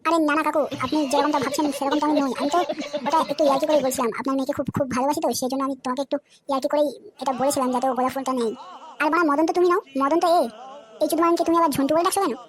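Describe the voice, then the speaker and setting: A woman's voice: sits high, steady tone, racing, crowd noise, lightly acted. A woman voicing a cocky, foul-mouthed, lovestruck young man in a comedy cartoon, with boastful, teasing banter. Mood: pleading, sly.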